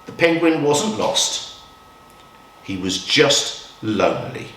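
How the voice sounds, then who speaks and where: A man reading a picture book aloud to children in a measured, expressive storytelling style with dramatic pauses. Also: on the low side, slight background noise. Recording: roomy echo, distant from mic.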